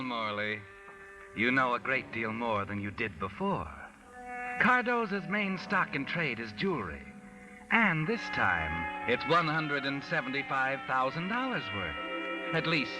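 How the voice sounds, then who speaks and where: An actor playing a knowing, sardonic mystery narrator, telling the story ominously in 1940s radio style. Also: male voice, medium pitch, rough recording. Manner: ominous, second-person storytelling.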